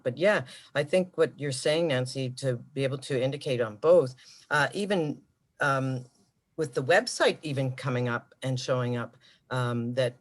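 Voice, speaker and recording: A man from an animation studio who explains ideas calmly, step by step with fillers, in a video call meeting. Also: medium pitch.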